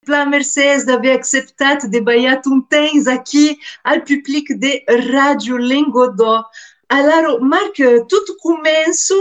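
A woman hosting a radio interview, asking measured, guiding questions. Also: high-pitched, a bit bright.

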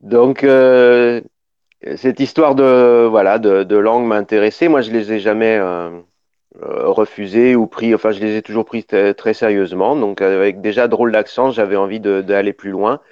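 Low-voiced, thoughtful middle-aged man. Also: steady tone, normal speed, clean tone, no echo. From Paris, northern France. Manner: thoughtful, explains at length with many restarts. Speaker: documentary filmmaker, interview guest. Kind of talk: relaxed radio interview. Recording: dull, dry studio sound.

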